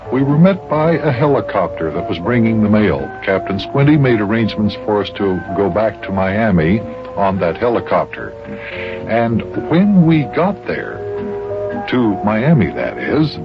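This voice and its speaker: An actor playing a cheerful grown-up guardian who tells the story in an old children's radio serial. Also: male voice, fairly low pitch. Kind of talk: 1950s children's radio serial narration and acting. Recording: dull old radio recording.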